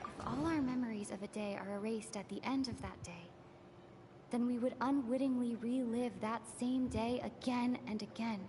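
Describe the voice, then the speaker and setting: A woman's voice: fairly high pitch, fairly even intonation, normal speed. A woman game streamer reading game text aloud and hesitantly puzzling over it. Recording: clearly noisy stream audio.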